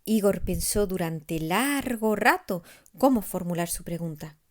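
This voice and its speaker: A woman narrating a scripted children's story expressively, with deliberate emphasis. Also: lowish voice, expressive. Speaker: author narrating her own story.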